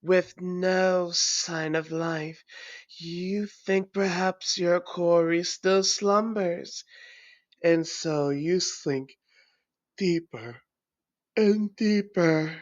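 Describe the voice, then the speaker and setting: A woman voicing a menacing, taunting ancient vampire in a theatrical, deliberate audio roleplay monologue. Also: lowish voice, steady tone, no room sound, muffled recording. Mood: sinister, gloating.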